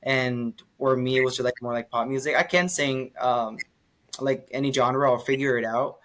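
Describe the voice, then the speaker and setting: Relaxed young man rambling about music. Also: mid-range voice, normal speed. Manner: relaxed, rambling with many fillers. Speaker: singer and podcast guest. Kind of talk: casual interview chat.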